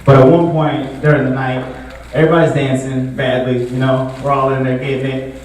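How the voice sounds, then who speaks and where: A young man performing a stand-up comedy set in a relaxed, conversational way, full of filler words. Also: medium pitch, a little fast. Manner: laid-back, conversational, frequent filler words. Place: comedy show venue with a live audience. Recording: echoey room, distant mic, audible noise.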